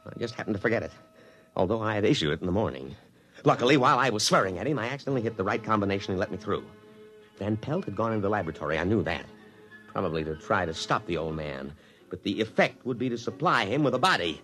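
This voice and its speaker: A man acting a pompous, excitable army officer in a blustering, dramatic 1950s radio drama style. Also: lowish voice, fast, soft background music. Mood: tense, rueful narration. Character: pompous, excitable male army officer narrating his downfall.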